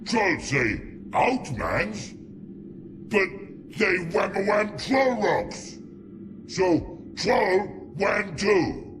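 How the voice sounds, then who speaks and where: An extremely slow, creaky troll for a fantasy game. The hulking creature is dim and blunt, talking in broken grammar with big swings of pitch. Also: male voice, sing-song, background noise, exaggerated voice. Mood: indignant. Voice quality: gravelly, creaky. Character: huge dim-witted male rock troll, childlike and blunt. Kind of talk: video game creature acting.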